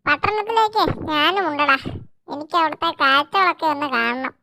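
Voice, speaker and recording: A storyteller voicing a nosy, excitable old granny witch, eager and exclamatory. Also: high voice, moderate pace, slight background noise, heavily acted.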